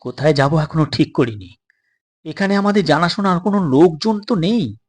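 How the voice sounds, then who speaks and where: A man's voice: a little high, expressive, normal speed, darkish tone, husky. A man voicing an eager but wary young boy in an audio story, plain-spoken and earnest.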